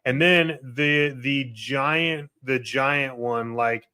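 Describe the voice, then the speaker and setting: A man's voice: medium pitch, extremely slow, pushed and bright, clean tone. An American man co-hosting a podcast, talking in an informal, slangy way with plenty of "like" and "right?". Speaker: podcast co-host. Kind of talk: casual chat.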